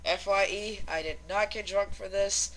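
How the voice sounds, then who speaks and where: A woman's voice: mid-range voice, steady tone, normal speed, fairly bright tone. A woman co-hosting in casual banter, playful and teasing in the way she talks. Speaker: co-host and voice performer.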